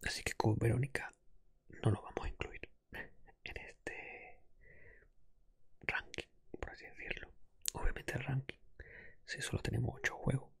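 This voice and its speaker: A man whispers softly into a close microphone in an ASMR podcast style, sometimes slipping into a gentle spoken tone. His voice is very breathy with some creak, and the sound is dry and intimate. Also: steady tone, measured pace, pauses often, no echo. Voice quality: breathy whisper with soft low voiced stretches. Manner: hushed, careful not to raise the voice. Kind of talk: ASMR, whispering mixed with soft-spoken talk.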